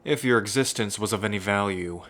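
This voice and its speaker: A man's voice: lowish voice, fairly quick, a bit bright, natural voice. A man playing a haughty, taunting villain lord who would be emperor, in a theatrical fantasy audio roleplay. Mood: sneering, gloating. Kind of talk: theatrical ASMR roleplay acting.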